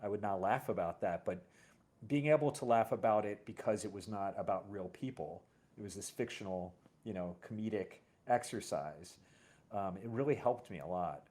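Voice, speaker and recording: A middle-aged man hosting a casual, personal conversation, telling stories in a halting, reflective way. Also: on the low side, darkish tone. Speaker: host.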